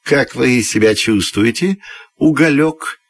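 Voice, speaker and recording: A man's voice: mid-range voice, slow, husky. A man voicing spiteful, envious, scheming aspen firewood in a children's storybook tale. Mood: mocking. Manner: sly, mocking.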